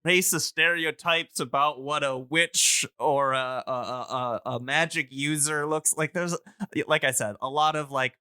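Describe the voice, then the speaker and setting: Man, smooth clear voice, close dry sound, casual podcast explainer, hesitant, thinking aloud. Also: on the high side, fairly even intonation, a little slow, sharp tone, no echo.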